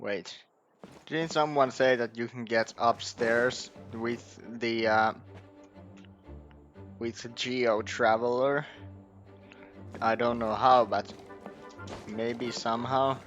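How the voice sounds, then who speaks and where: A man's voice: medium pitch, steady tone, slow pace, long pauses, a bit bright. A man doing casual gameplay commentary, thinking aloud hesitantly as he goes. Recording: dull, lo-fi mic over game music.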